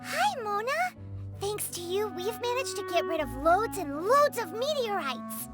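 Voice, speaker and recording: A woman voicing a tiny childlike fairy companion in a video game, squeaky and perky, with music behind her. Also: big pitch swings, measured pace, full character voice. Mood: cheerful. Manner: perky, speaks of herself in third person. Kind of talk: video game character acting.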